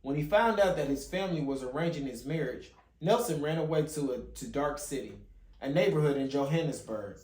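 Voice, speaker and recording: Man, slightly high voice, storytime read-aloud, occasional stumbles and rereads, roomy echo. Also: expressive, a little fast, darkish tone. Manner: stumbles and rereads phrases as he reads. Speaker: father hosting a children's read-along channel. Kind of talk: reading a picture book aloud. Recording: roomy, echoey home recording.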